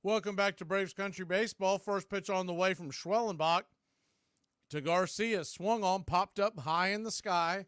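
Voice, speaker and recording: A man calls baseball live, play by play. His voice sits high and sounds clear and smooth. The recording is dry, with hardly any room around it. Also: normal speed, fairly bright tone, no echo.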